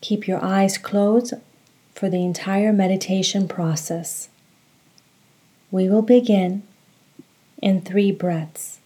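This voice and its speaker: A woman guides a meditation in a soft-edged, mellow tone. She speaks very slowly and calmly, delivering each instruction in a measured way at a medium pitch. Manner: slow, calm, measured instructions. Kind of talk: guided meditation narration with recitation.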